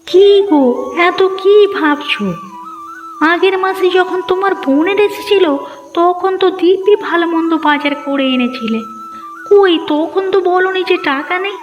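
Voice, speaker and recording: High-pitched nagging young village wife. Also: female voice, normal speed, long reverb tail, highs cut off, faint music behind. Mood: bossy, nagging. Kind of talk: Bengali cartoon voice acting.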